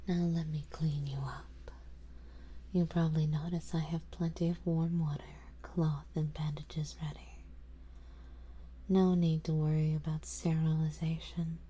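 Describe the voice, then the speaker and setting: A woman playing a doting, possessive, genteel vampire in a whispered ASMR roleplay, speaking softly and coaxingly in a breathy whisper. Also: low-pitched, level tone, measured pace, a little hiss or hum. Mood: pleased, doting. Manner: soft, coaxing, genteel, indulgent.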